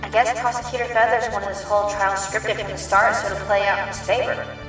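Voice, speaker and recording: A woman's voice: medium pitch, level tone, brisk, close to an everyday voice. A woman playing an earnest, anxious but feisty young rabbit defense lawyer in an audio drama. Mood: wary. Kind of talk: audio drama acting with inner monologue. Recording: roomy, distant mic, faint music.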